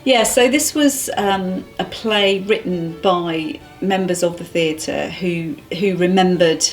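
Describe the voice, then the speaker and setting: A middle-aged English woman reminiscing casually in conversation. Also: fairly low pitch. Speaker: community theatre member being interviewed.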